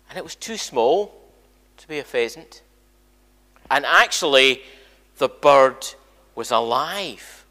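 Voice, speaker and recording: A man's voice: mid-range voice, bright, forceful tone. An older Scottish man, a church minister, chatting informally and animatedly to his congregation as he tells stories. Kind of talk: informal all-age church talk, storytelling. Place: large church sanctuary, indoors. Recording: echoey church hall, long reverb.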